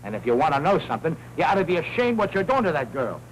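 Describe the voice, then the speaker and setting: An American actor playing a cocky, jittery, slangy private eye in a 1940s crime film. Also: male voice, on the high side, very animated, racing, low-quality recording. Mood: flustered, indignant. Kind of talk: fast-talking 1940s crime film acting. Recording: 1940s film soundtrack, faint hum.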